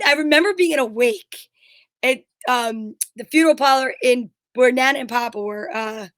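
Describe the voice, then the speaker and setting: A woman's voice: high voice, a bit bright, dry recording. A woman co-hosting a casual chat show, talking in a blunt, emphatic, rambling way and swearing freely. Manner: rambling anecdotal storytelling. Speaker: show co-host.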